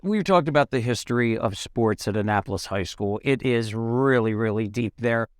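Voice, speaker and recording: A man of medium pitch presents an interview as its host. He is upbeat and emphatic, often repeating a phrase to drive it home. His voice sounds dry and close. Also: moderate pace, no room sound.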